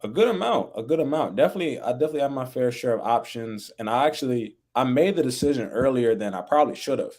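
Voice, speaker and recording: A young man talking casually as a podcast guest, relaxed and full of fillers, raised in the American Midwest. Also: medium pitch, fast talker, hardly any pauses. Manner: relaxed, laid-back, many fillers like "like" and "you know". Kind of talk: casual interview chat.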